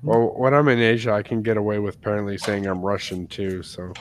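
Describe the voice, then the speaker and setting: A man's voice: on the low side, brisk, a little hiss or hum. An American man co-hosting a casual podcast chat, telling stories with lots of laughs. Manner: chatty storyteller, laughs often. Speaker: podcast co-host.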